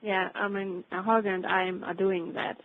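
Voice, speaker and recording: A colleague in a casual work conversation, speaking haltingly and searching for words. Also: medium pitch, little pitch movement, a little hiss or hum. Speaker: colleague on a demo call. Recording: dull phone-like conference-call audio.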